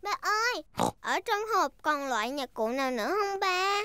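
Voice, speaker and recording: A woman's voice: high voice, lively intonation, moderate pace, pushed and bright, no echo. A woman voicing a cheerful, curious little girl pig in a children's cartoon dub, childlike and eager.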